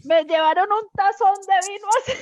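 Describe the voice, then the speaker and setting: A woman's voice: extremely high pitch, moderate pace, a little mellow. A woman with a Colombian accent hosting a casual chat, effusive and given to telling anecdotes, on slightly muffled audio. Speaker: host of an online cultural talk.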